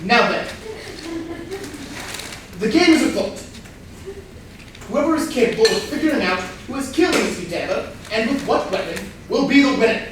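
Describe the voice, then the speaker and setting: A woman playing a formal, deliberate butler who presides over a murder game in a staged comedy reading. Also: a little high, expressive, natural voice. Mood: formal, stately. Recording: very echoey room, distant mic, faint noise.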